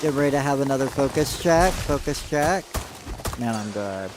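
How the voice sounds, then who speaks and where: A man's voice: mid-range voice, expressive, moderate pace, noisy background. A man chatting casually with viewers while playing a game, upbeat, saying y'all, and losing his voice. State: losing his voice, clearing throat. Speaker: game streamer. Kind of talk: casual live-stream chat to viewers.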